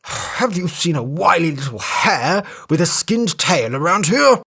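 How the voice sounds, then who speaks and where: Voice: medium pitch, big pitch swings, a little slow, breathy edge, heavily acted. A narrator voicing a big angry elephant. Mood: falsely polite. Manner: blustering, shouting threats, then falsely polite.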